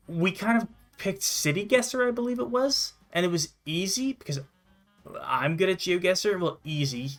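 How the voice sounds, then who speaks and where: A man's voice: a little high, fairly bright tone. A man from Canada hosting a gaming show with casual, sweary gameplay commentary. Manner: swears freely.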